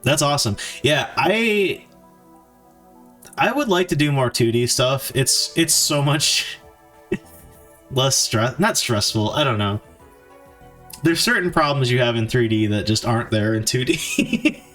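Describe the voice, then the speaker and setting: A man chatting casually on a live stream while he codes, thinking aloud in a halting, informal, self-deprecating way. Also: medium pitch, animated, a bit bright, faint music behind. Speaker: game developer streamer.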